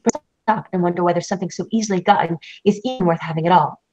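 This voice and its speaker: A woman's voice: fairly low pitch, very fast, a little mellow, close to an everyday voice. A woman voicing a cold, ambitious lawyer wife who speaks in a condescending, wordy way. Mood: scornful. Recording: dull lo-fi recording.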